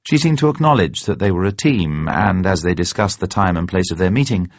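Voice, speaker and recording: A man reading a novel aloud as dramatic narration, measured, literary and expressive. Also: sits low. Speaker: narrator, audiobook reader. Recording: dull, roomy recording.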